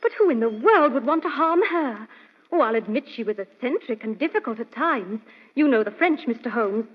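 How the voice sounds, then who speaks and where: On a dull old radio recording, a young lady's companion with a very high voice. She is earnest and chatty, with a genteel, fluttery manner suited to a vintage radio mystery. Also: lively intonation, brisk. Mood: anxious, explaining.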